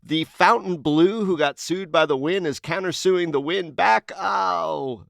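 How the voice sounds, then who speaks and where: A man's voice: on the high side, measured pace, vocal fry, fairly bright tone, no echo, clean studio sound. A man co-hosting a news roundup podcast, moving between scripted intros and conversation. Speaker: podcast co-host.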